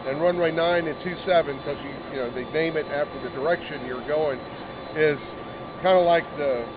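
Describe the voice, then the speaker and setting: Male interviewer, slightly high voice, chatty, explanatory, casual, clear airplane noise behind. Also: moderate pace. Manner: chatty, explains jargon to listeners. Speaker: interviewer and pilot. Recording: loud aircraft noise behind. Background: airplane and jet engine noise.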